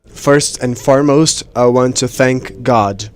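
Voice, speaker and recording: A man interpreting conference speeches live, in measured phrases with pauses mid-sentence. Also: medium pitch, clear, resonant tone. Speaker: simultaneous interpreter.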